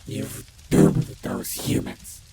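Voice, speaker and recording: A man's voice: extremely slow, dark tone. A man playing a menacing, vengeful feral vampire in an audio roleplay, stammering in broken, growled words. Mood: furious, vengeful. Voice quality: gravelly, creaky, breathy growl. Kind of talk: audio roleplay villain acting.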